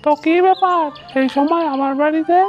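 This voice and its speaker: A woman's voice: very high voice, a little fast, darkish tone, lo-fi sound. A woman voicing a sly, greedy male village goldsmith in a cartoon, with a scheming, inquisitive manner. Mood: probing. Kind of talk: cartoon story dubbing.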